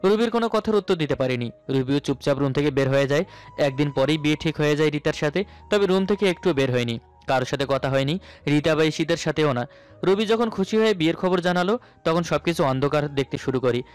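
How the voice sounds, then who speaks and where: A man's voice: on the high side, animated, fast talker, clean tone. A man narrating a romantic story in the first person, in a dramatic storytelling style. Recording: music bed under the voice. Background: background music.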